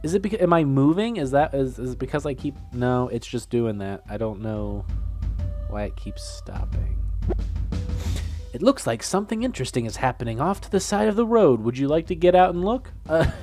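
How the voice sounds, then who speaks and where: A young man, sniffling from a cold, talks his way through a game with a lively, swinging voice, reading its text aloud and swearing when it confuses him. Faint game music plays behind him. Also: mid-range voice, moderate pace. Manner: swearing, laughing, easily flustered. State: getting over a cold, sniffling. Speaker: gameplay commentator. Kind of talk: casual let's play commentary, reading game text aloud.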